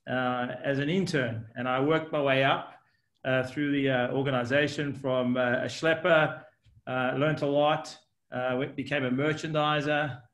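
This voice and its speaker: A man's voice: fairly high pitch, a bit bright. South African-Australian man, echoey room. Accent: South African and Australian mix. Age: 40s. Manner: fluent storyteller with frequent ums and uhs. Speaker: guest, company executive. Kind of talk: podcast interview. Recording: roomy echo.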